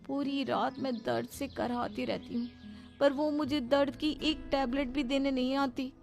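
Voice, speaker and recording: Snobbish modern young friend, played by a woman, cartoon, condescending chatter, slightly high pitch. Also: fairly even intonation, a little slow, vocal fry, faint music behind. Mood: complaining.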